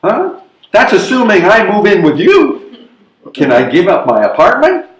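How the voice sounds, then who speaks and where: A man's voice: high-pitched, very animated, a little slow. A man playing a hesitant, fretful elderly widower in a readers theatre comedy, protesting and questioning. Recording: echoey live room recording.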